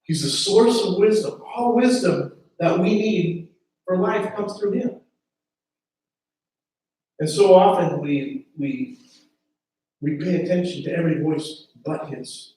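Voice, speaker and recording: High-voiced preacher in an echoey hall. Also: male voice, takes it slowly, pauses often, mellow. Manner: earnest, repeats words when starting phrases. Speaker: pastor. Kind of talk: sermon. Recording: very echoey room from a distance.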